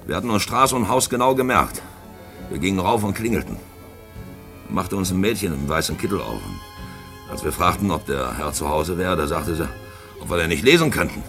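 A shady postwar profiteer in a radio drama. His voice is low and forceful, with big swings in pitch as he shifts from sentimental musing to boastful, tipsy swagger. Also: moderate pace, faint music behind. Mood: reminiscing. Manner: expressive, swinging between musing and outbursts. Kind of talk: German radio play acting with first-person narration.

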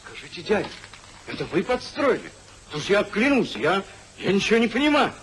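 An actor playing a frank, impulsive young rake in a 1950s radio comedy, earnest and theatrically expressive. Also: male voice, high voice, brisk, exaggerated voice. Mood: bewildered. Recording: faintly noisy 1950s radio recording.

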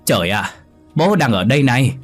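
A man voicing an exasperated young son who shouts at his mother. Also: mid-range voice, big pitch swings, brisk, husky, soft background music, pitched above the performer's own voice.

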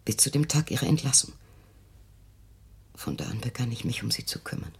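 Husky, low-voiced bitter mother. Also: a little fast, darkish tone, exaggerated voice. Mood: bitter, hushed. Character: bitter, defensive middle-aged mother guarding painful secrets. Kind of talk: German radio play acting.

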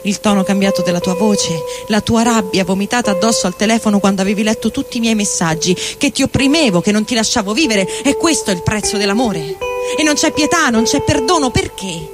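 Casting: actor as middle-aged heartbroken man, high voice, anguished stage monologue with guitar backing. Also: expressive, fairly quick. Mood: bitter, anguished. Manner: anguished, dramatic, literary delivery. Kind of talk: theatrical literary monologue reading over music. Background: electric guitar and loops.

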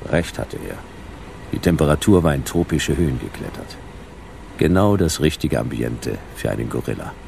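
A world-weary detective narrating a radio play in a very deep, gravelly voice. His sentences are terse and deadpan, over faint noise. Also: moderate pace, full character voice. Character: laconic, world-weary male detective telling his story. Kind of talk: scripted hardboiled radio drama narration.

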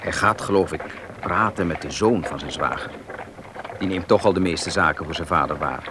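An actor as deep-voiced, level-headed young man. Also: normal speed, background noise, lightly acted. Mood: measured. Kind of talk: radio drama acting. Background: vehicle sound effects.

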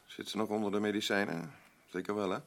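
Een acteur die in een hoorspel een stugge zwemleraar speelt, kortaf en soms haperend. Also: male voice, fairly low pitch, flat delivery, fast, creaky. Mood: hesitant, hospitable. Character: gruff defensive swimming instructor with estranged wife. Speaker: radio drama actor. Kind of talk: Dutch radio play dialogue. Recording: noisy old radio drama recording.

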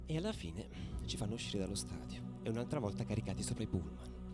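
An actor playing a fervid football ultra who recounts stadium riots in a theatrical radio monologue. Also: male voice, fairly low pitch, expressive, fast talker, lightly acted. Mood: tense, subdued. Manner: theatrical, swinging between hushed murmur and loud declaiming. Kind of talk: dramatic radio theatre monologue over music. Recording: loud musical backing under the voice. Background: music.

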